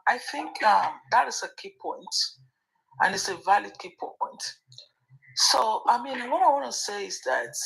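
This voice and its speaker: A woman's voice: mid-range voice, gravelly, fairly bright tone, low-quality recording. A woman hosting a commentary chat, hesitant with fillers at times, then pointed and emphatic. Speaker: host.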